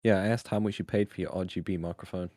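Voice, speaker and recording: A man with a British accent and a low voice chats as he games with friends. He is argumentative and needles people, and the sound is close and dry. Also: fairly even intonation, quick pace, mellow, no echo. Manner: teasing, argumentative. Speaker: gamer friend on a voice call. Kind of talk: casual banter over a game stream.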